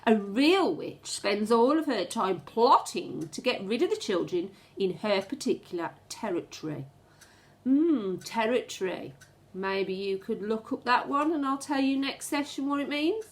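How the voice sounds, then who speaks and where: Expressive, slow-paced woman reading a storybook. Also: fairly high pitch. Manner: expressive, suspenseful, deliberate storytelling. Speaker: primary school teacher reading aloud to pupils. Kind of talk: dramatic storybook read-aloud with asides to children.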